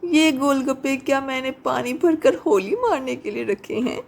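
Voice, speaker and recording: A woman's voice: sits high, expressive, normal speed, creaky, a little mellow, full character voice. A woman voicing a sly, flirtatious witch who poses as a street food seller, coy and teasing, in a horror storybook.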